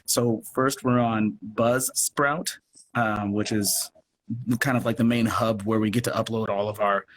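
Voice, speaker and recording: A man's voice: lowish voice, steady tone, measured pace. Enthusiastic man on a noisy video call. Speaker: guest, theatre company producer. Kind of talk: casual interview chat.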